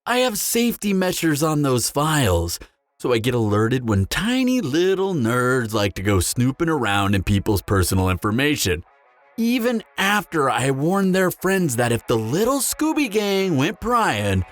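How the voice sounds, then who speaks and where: A man's voice: fairly high pitch, huge pitch swings, dry recording, faint music behind. Man acting a smug, swooping flirtatious vampire. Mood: menacing, smug. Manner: teasing, smug drawl with dramatic pauses. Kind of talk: scripted audio roleplay, intimate character acting.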